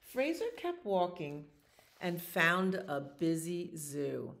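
A woman in her sixties reads a picture book aloud for children, pausing for casual asides that explain the pictures in an unhurried manner. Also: lowish voice, sing-song, vocal fry, fairly bright tone. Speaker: children's storytime reader. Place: small library children's room.

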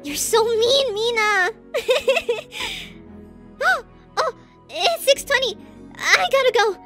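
A woman voicing a shy, flustered teenage girl with a crush in an anime roleplay audio drama, stammering, hesitant and excitable. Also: very high-pitched, drawn-out words, soft background music, full character voice. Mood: bright, hurried.

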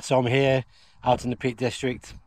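A man's voice: mid-range voice, flat delivery, normal speed, a little mellow. A middle-aged man explaining things casually in a vlog, recorded on a camera while camping. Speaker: vlogger demonstrating off-grid radio gear. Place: outdoors in a windy field and inside a canvas tent.

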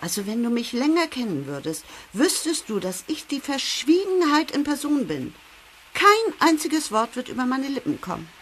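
A woman's voice: sits high, very animated, moderate pace, fairly bright tone, a little hiss or hum. An actress playing a gossipy, secretive schoolgirl in a radio play, dramatic and insistent, eager and easily offended. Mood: secretive, self-important. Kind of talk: German radio play acting for young listeners.

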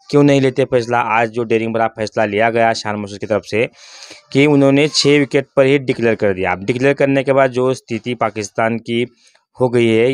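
A man's voice: medium pitch, smooth, dry recording, studio quality. A man commenting on cricket as a channel host, in a critical, opinionated monologue with rhetorical questions.

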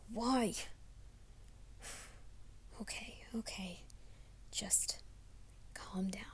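Woman voicing a whispering young werewolf man. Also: medium pitch. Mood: whispered panic. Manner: mostly hushed, urgent whispering to himself. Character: young male werewolf soldier, anxious and secretive. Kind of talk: ASMR roleplay audio.

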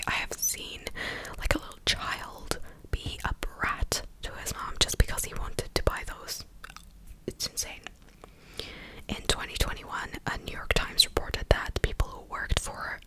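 A young adult woman whispering breathily in an informal, conversational way, as an ASMR host talking close to a desktop microphone. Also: low-quality recording. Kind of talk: whispered ASMR commentary, casual chat. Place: small indoor room at home.

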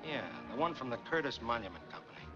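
A man's voice: medium pitch, animated, quick pace, natural voice. A man playing a breezy noir character, dull soundtrack. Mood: breezy, probing. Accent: American. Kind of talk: 1940s film noir acting. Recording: dull 1940s film soundtrack, loud music.

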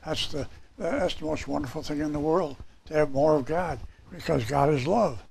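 A man in his sixties with a hoarse, raspy voice, preaching a sermon and reading scripture in a small church hall. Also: a little high, moderate pace, darkish tone, a little hiss or hum. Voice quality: raspy, gravelly. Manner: gesturing, reading scripture aloud from papers. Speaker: pastor.

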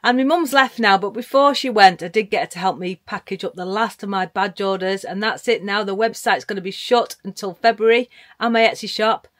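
A woman chatting casually to camera from a canal narrowboat, cheeky and quick to laugh. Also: medium pitch, a bit bright, dry recording, studio quality. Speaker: narrowboat vlogger. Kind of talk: casual vlog chat. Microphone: action camera at arm's length.

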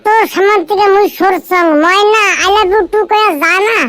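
A man voicing a lovesick, crude young village man in a comedy cartoon, with a northern Bengali accent and a pitch-raised effect. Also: fairly even intonation, moderate pace, a bit bright. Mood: lovesick pleading.

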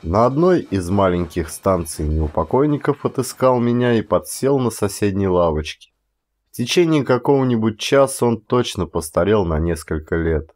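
A male actor narrates classic fiction in a fairly low voice. His delivery is measured and expressive, shaped as dramatic storytelling. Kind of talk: dramatic literary audiobook narration.

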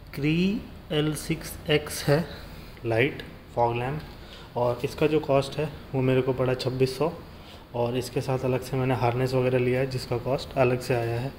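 A man's voice: mid-range voice, a little mellow. A man with an Indian accent narrating a casual vlog, informally mixing English phrases into his speech. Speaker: motorcycle vlogger. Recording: clear background noise, ambient sound.

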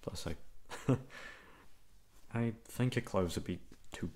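A young man playing a shy, easygoing college classmate in an ASMR roleplay, talking casually in a hesitant, halting way. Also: low-pitched, darkish tone. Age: young adult. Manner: hesitant, halting, awkward chuckles. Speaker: voice actor. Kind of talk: ASMR roleplay audio drama, casual conversational.

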